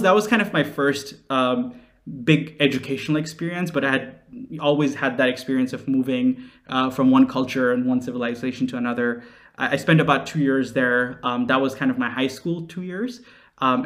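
Young man from Nepal in conversational interview. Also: mid-range voice. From Nepal, raised in several countries. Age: late 20s. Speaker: interview guest, social entrepreneur.

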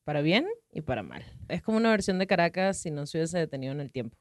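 A woman's voice: low voice, quick pace, no room sound, studio quality. Laid-back Venezuelan woman in her thirties. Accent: Venezuelan Spanish. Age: 30s. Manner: relaxed, rambling storyteller who laughs easily. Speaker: podcast guest. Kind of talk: casual conversational interview.

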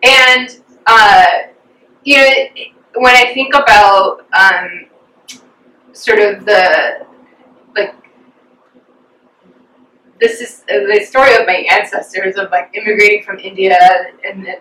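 A woman's voice: medium pitch, takes it slowly, pauses often, sharp tone. A young American woman, an interview guest, chatting reflectively about her life with many fillers. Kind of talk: casual personal storytelling. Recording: roomy echo, distant from the mic.

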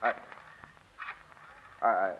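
A man's voice: drawn-out words, slight background noise. An actor playing an edgy, easily cowed little man suspected of murder in old-time radio melodrama style. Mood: defeated. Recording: dull vintage radio recording.

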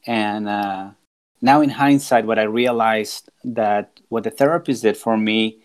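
A man's voice: on the low side, unhurried. A man in his forties, from Peru, speaking as a podcast guest in an earnest, reflective way, often restarting and repeating words as he thinks. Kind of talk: casual podcast conversation.

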